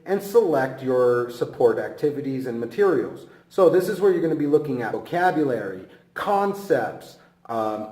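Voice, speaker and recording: A man's voice: a little high, darkish tone. A man giving an instructional lesson as a teacher, speaking emphatically and encouragingly straight to the viewer. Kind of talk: instructional presentation. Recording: echoey room.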